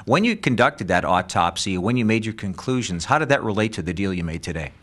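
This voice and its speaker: Man, sports broadcaster, fairly low pitch, crisp forward tone, polished announcer delivery, interview style. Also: sing-song, fairly quick, fairly bright tone.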